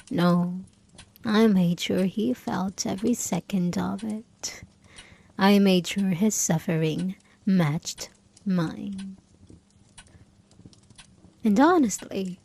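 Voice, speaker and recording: A woman performs a haunted porcelain doll, possessive and vengeful, in an intimate audio roleplay. The voice is soft and mellow, slightly breathy, and takes its time, coaxing the listener with quiet menace. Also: mid-range voice.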